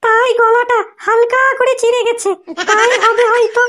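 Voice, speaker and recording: A performer voicing a mischievous, cutesy cartoon sidekick with a squeaky, coaxing delivery. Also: flat delivery, moderate pace, fairly bright tone. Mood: coy, coaxing. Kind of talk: exaggerated comedy cartoon dubbing.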